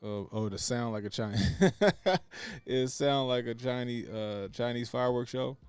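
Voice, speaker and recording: A man hosting a stream, reacting to clips in casual, crude commentary. He laughs a lot and swings between mumbled asides and loud outbursts. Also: medium pitch, unhurried, smooth, no room sound. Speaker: stream host.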